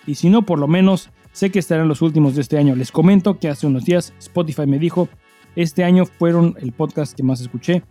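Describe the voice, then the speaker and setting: A Mexican man with a fairly high, mellow voice co-hosts a casual podcast. He talks in a slangy, crude, joking way, recorded fairly dry with music underneath. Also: fairly quick. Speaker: podcast co-host. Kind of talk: casual chat and listener mail reading.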